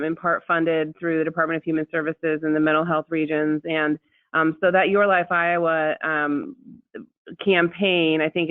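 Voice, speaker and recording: Flat-pitched woman on a phone-like line. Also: no room sound. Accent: American. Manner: hesitant, explanatory. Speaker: state health official. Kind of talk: unscripted conference call update. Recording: thin, phone-like conference call line.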